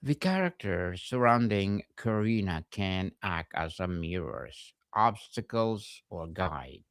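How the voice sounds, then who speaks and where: A man hosting a podcast, slowly reading out prepared interview questions. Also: fairly low pitch, dry recording, clean studio sound. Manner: slow, deliberate, reads prepared questions. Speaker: podcast host.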